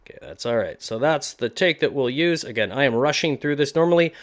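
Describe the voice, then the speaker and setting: A man's voice: medium pitch, expressive, fairly quick. A man hosting a tutorial, talking casually and explaining things step by step in an informal way. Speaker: voice acting tutorial host.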